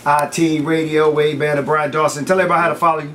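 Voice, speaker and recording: A man's voice: fairly high pitch, level tone, fast talker, fairly bright tone, a little hiss or hum. An American man hosting a casual radio interview in an informal, slangy way. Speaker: radio host. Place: radio studio.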